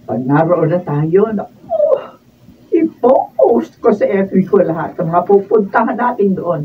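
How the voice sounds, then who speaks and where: An actress playing a haughty, sharp-tongued wife in a Filipino radio drama. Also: female voice, medium pitch, huge pitch swings, measured pace. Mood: boastful. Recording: muffled distant mic, faint noise.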